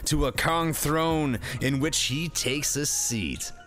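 A man's voice: fairly high pitch, slow, fairly bright tone. Sarcastic male movie-review host, faint music. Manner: slangy, sarcastic. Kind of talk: jokey, profane scripted film recap with banter.

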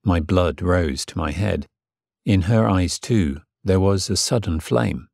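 An actor playing a lovesick, submissive gentleman in an audiobook drama, earnest and measured, with a husky voice. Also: low voice, no room sound, studio quality, exaggerated voice. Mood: reflective. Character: Severin, lovesick, submissive gentleman narrating his passion. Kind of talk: dramatised first-person audiobook narration.

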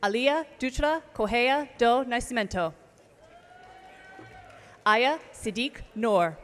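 A woman reading out graduate names at an outdoor commencement, slow and measured, over a PA system. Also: on the high side, lively intonation, a bit bright. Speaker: name reader at commencement. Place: outdoor ceremony stage. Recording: long reverb of outdoor PA.

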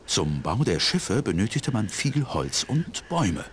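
An elderly village priest in a cartoon adventure game. The voice is low and very animated, with kindly, measured storytelling. Faint noise and crows sit behind it. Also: male voice. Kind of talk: scripted cartoon game dialogue.

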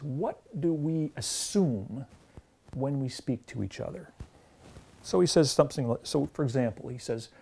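A man's voice: medium pitch, dark tone, slightly rough. A Canadian man in his forties giving a thoughtful explanatory lecture to camera on a lapel mic, with fillers and restarts. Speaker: theology lecturer. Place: studio with green-screen backdrop.

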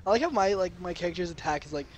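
A young man with a fairly high voice makes casual gamer small talk. He speaks in halting bursts, joking and cursing as he plays. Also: lively intonation, darkish tone, a little hiss or hum. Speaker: gamer co-hosting a play session. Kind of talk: casual chat during online gameplay.

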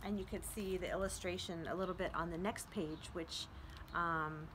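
A woman in her thirties or forties, a children's librarian introducing and reading poetry aloud with careful phrasing and pauses. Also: lowish voice, steady tone. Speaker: children's librarian and story-time host. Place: outdoors on a front porch. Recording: outdoor porch ambience, light street noise.

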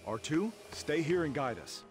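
An actor playing an earnest, impetuous young Jedi knight in a video game. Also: male voice, fairly high pitch, big pitch swings, normal speed, loud music behind the voice. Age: young adult.

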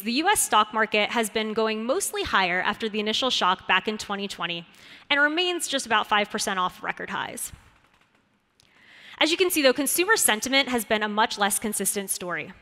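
A woman's voice: on the high side, pushed and bright. A woman in her thirties giving a polished, scripted keynote speech on a conference stage, stressing key points with lifts in her voice. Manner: clear, polished delivery with rising emphasis on key points. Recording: reverberant conference hall.

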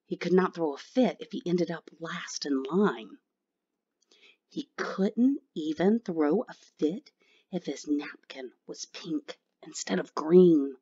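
A woman's voice: deep voice, animated, slow pace, dark tone, slightly rough, dull audio. A woman reading a picture book aloud expressively for children. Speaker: children's story reader.

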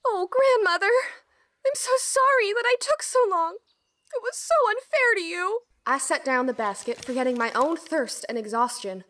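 A woman's voice: very high voice, lively intonation, normal speed, pushed and bright, full character voice. A woman playing an earnest, dutiful young girl who tells her own fairy tale formally in an audio drama. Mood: eager, caring. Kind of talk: first-person storybook narration and acting in audio drama.

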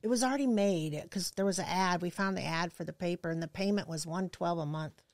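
A woman's voice: lowish voice, a little fast, dry recording, studio quality. A woman casually chatting and reminiscing as a podcast guest, playful and wry.

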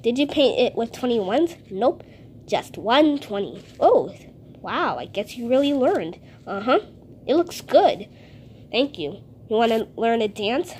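Woman acting out cutout chats, high voice, close phone at a home table. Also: sing-song, a little slow, darkish tone, a little hiss or hum. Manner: chatty back-and-forth between toys. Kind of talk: playing with paper cutouts. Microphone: handheld phone camera close to table.

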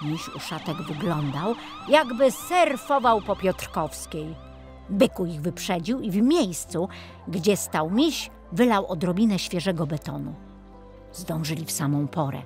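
Animated woman storyteller, low and unhurried. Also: over music. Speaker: audiobook narrator. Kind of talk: expressive scripted children's storytelling.

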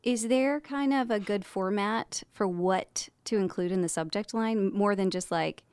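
A woman's voice: mid-range voice, a little slow, darkish tone, clean tone, dry recording, studio quality. A woman hosting a podcast, asking questions in a casual, conversational interview style.

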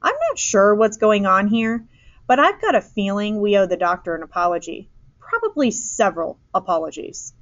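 A woman reader playing an indignant, sarcastic father in a story, forceful and demanding. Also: medium pitch, sing-song, moderate pace, dry recording, lo-fi sound. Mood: wary.

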